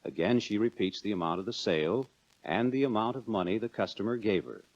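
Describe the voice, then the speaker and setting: An American man narrating a 1940s instructional film in a measured, instructive manner, addressing the viewer directly. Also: lowish voice, lively intonation, a little mellow. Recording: dull lo-fi 1940s film soundtrack.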